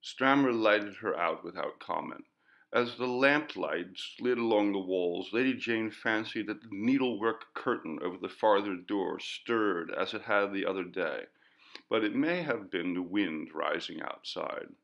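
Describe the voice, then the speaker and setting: A man reading a literary ghost story as an audiobook, in a measured, dramatic manner. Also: lowish voice, expressive, creaky, highs cut off. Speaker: audiobook reader.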